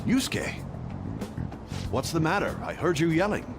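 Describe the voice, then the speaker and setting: An actor voicing a courteous, self-deprecating old master painter with a gravelly voice, placating and mild, in a video game dub. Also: male voice, on the high side, huge pitch swings, measured pace, full character voice. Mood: concerned. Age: older. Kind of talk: dramatic English video game dub acting. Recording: music behind the voice.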